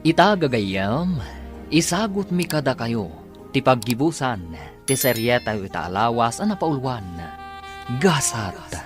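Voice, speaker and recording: Male radio drama announcer, Ilocano accent, mid-pitched, slow scripted delivery with prominent music behind. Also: sing-song.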